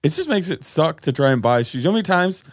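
A man co-hosting a comedy podcast in easygoing chat, chiming in with quick agreement. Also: a little high, sing-song, no echo, clean studio sound. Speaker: podcast co-host.

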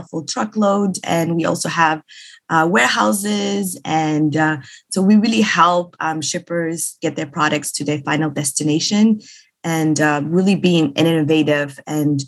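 Husky woman. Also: on the low side, measured pace. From Somalia. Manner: eager, warm, many hesitations. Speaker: business executive, panelist. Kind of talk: self-introduction on a panel.

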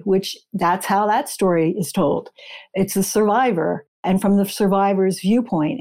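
Mellow-voiced woman explaining as an interview guest. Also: mid-range voice. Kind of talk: conversational explaining and retelling.

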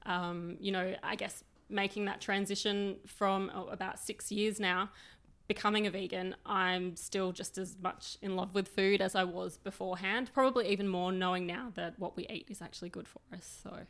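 An Australian woman in her thirties answers interview questions in an open, chatty way, telling stories as she goes. Her pitch sits in a middling range. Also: fairly even intonation, moderate pace, fairly bright tone. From New South Wales, Australia. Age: 30s. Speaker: guest, recipe developer. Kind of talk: casual interview.

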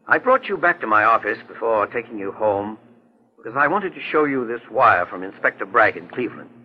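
A man playing a kindly, assured older gentleman detective in a 1940s radio drama. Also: on the low side, very animated, brisk, husky. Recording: dull lo-fi 1940s radio recording.

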